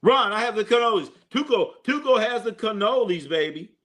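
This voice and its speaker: A man's voice: high voice, lively intonation, brisk, a bit bright. A man hosting a live sports stream, chatting loosely with viewers and a co-host, joking and using slang with lots of fillers. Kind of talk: casual live stream chat reading comments.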